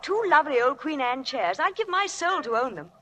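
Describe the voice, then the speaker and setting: A woman's voice: high-pitched, big pitch swings, a little fast, fairly bright tone. An actress playing a chatty, effusive, genteel society lady in 1940s radio drama style. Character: chatty, gushing society lady, a mystery writer. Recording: noisy vintage radio broadcast.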